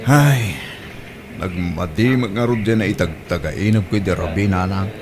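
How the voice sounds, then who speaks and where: A man with an Ilocano accent playing a stubborn, exasperated son in a comedy radio-style drama, animated and complaining. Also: sits low, a little slow, noisy background. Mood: sulky, defensive.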